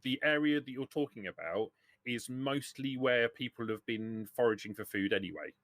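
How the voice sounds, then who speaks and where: A man's voice: medium pitch, normal speed, a bit bright, no echo. A man acting as game master, talking casually as he runs a tabletop roleplaying game.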